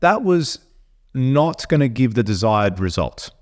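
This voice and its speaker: An Australian man co-hosting a podcast, talking bluntly in an animated, opinionated way, with frequent restarts. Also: medium pitch, a little slow. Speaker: podcast co-host. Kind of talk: casual opinionated chat.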